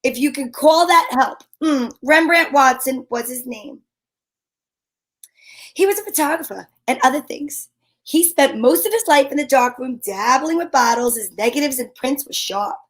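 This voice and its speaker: Actress as female gumshoe, high-pitched, sassy and wry, old-time radio style. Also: normal speed, a bit bright. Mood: wry narrating. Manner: brash, slangy, wry. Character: sassy, wisecracking female private eye.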